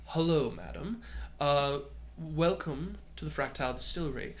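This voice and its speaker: A man's voice: on the high side, sing-song, normal speed. A man playing a courteous restaurant greeter. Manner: courteous, formal. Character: polite restaurant host greeting a guest.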